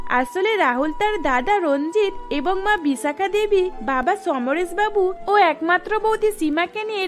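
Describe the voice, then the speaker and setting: A woman narrating a cartoon story in an even storytelling manner. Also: very high voice.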